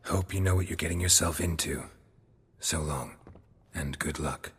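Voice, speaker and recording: A gruff sellsword monster slayer from a fantasy video game. His voice sits extremely low in a gravelly growl, with dry, clipped, understated delivery. Some ambient noise sits behind him. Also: moderate pace, a bit bright. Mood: calm, firm. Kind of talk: video game dialogue acting.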